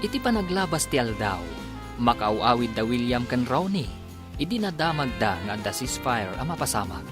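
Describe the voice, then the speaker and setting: A man's voice: medium pitch, very animated, moderate pace. Guy announcing a radio drama, big swooping intonation, music behind him, emphatic. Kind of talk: scripted dramatic radio narration.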